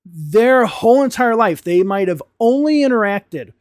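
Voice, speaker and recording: A young American man speaks straight to his English students in a frank, fired-up tone, hitting words hard for emphasis. His voice sits high for a man. The sound is dry and close, with no room around it. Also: animated, unhurried, dry recording. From United States. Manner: frank, impassioned rant with emphatic stresses. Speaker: English teacher. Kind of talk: talking-head video lesson.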